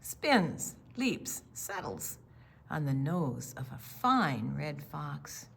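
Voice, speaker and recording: An older woman co-hosting a children's storytime, chatting playfully and reading picture books aloud in an unhurried way. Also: deep voice, very animated, vocal fry, a bit bright, husky. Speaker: children's storytime co-host and book reader.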